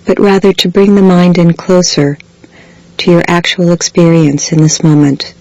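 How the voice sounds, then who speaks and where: A woman guiding a body scan meditation in a slow, measured way, leaving long pauses between phrases. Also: lowish voice, dark tone, dry recording, dull audio. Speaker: meditation guide. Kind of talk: guided body scan meditation, scripted soothing instruction.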